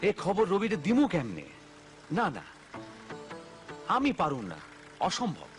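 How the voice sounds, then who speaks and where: Cartoon role: excitable grumbling village grandpa, Bangladeshi accent, swooping animated pitch, music underneath. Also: male voice, unhurried, heavily acted. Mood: disgruntled. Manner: blustering, exclamatory. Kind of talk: cartoon voice acting.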